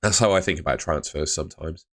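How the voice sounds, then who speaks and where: A young man chatting live with viewers on a stream, laid-back and chatty, his voice fading and hoarse. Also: sits low, fast talker, fairly bright tone. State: losing his voice.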